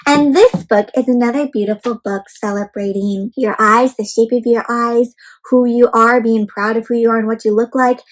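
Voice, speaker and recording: A woman's voice: a little high, steady tone, normal speed, poor audio. A woman hosting an interactive storybook read-aloud for young children, often putting questions to her listeners and addressing them as friends. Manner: asks the listeners frequent questions.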